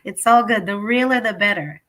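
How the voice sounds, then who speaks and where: A woman from Ethiopia, a singer guest, speaking warmly and reflectively in a casual interview over a video call. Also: medium pitch, brisk. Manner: warm, reflective, laughs easily.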